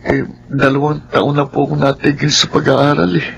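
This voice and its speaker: A man's voice: fairly high pitch, completely flat delivery, normal speed, vocal fry, dull audio. A man playing a timid, mistreated, hungry teenage boy in a Filipino radio drama, plaintive and polite, with heavy noise behind. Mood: humble, grateful. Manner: plaintive, polite, self-pitying.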